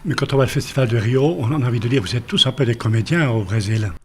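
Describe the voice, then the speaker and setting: A TV interviewer, asking plain and measured questions. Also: mid-range voice, slight background noise.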